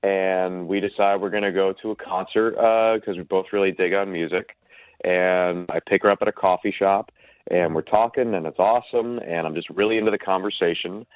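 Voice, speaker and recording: A man's voice: low voice, fairly even intonation, a little fast, no echo. A man calling in to a radio show over the phone, telling his story casually in a defensive, matter-of-fact way. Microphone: phone call. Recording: tinny band-limited phone line.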